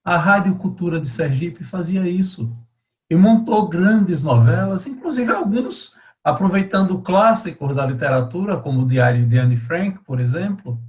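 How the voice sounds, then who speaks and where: A man hosts, his voice pitched a little high. He delivers a formal introduction in deliberate, oratorical phrases, pausing between them. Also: expressive. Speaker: host introducing a guest. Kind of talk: measured, formal introduction to an oral-history interview.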